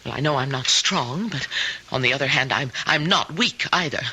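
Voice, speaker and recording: Elderly spinster in vintage radio mystery: prim, timid, stammering, piercing tone with animated inflection. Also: female voice, extremely low pitch, moderate pace, full character voice. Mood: hesitant, defensive. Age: older. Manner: hesitant, stammering, proper. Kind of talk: old-time radio drama acting. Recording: noisy old radio drama recording.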